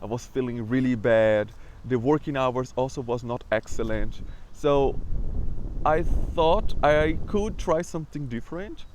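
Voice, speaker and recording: A man's voice: medium pitch, a little slow, darkish tone, slight background noise. A young man with a Brazilian accent, talking casually in a personal vlog and stuttering with repetitions and restarts. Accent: Brazilian Portuguese. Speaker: vlogger.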